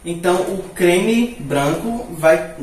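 A man's voice: high-pitched, animated, slow pace, a little mellow. A young man from northeastern Brazil with a Recife-like accent and hissing s sounds, chatting playfully while cooking in his home kitchen. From Natal, northeastern Brazil. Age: young adult. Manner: chatty, playful, breaks into giggles. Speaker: vlogger. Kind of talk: casual cooking vlog chat. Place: tiled home kitchen, indoors. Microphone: camera several feet away. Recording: echoey tiled kitchen, distant mic.

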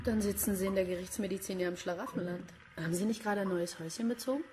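An actress in a radio crime drama playing a sober, matter-of-fact police inspector with a dry manner. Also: female voice, medium pitch, rapid-fire, a little hiss or hum, lightly acted. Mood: dry, subdued. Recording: loud music behind the voice.

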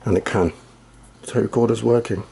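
Man, low gravelly voice, rambling, self-deprecating, casual demo, faint hiss. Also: steady tone, a little fast, mellow. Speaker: retro computer hobbyist.

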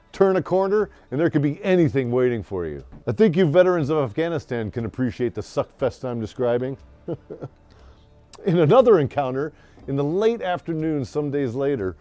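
An American man tells a story with theatrical flair, his pitch moving in lively swings. The voice is mellow, brash and tongue-in-cheek, and faint music plays underneath. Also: no room sound. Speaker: host and storyteller. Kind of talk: dramatic scripted storytelling with crude comic asides. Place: small wood-panelled room, indoors.

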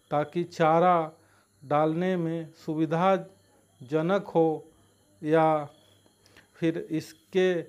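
Man, slightly high voice, very slow pace, halting short phrases, instructional farming voice-over. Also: darkish tone. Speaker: farming video narrator.

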